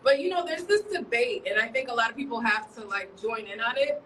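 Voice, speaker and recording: Bright-voiced chatty woman from Chicago. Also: fairly high pitch, fairly quick, far from the mic, a little hiss or hum. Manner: chatty, laughs often, rambling. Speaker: journalist guest. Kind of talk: casual interview chat. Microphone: livestream from a distance.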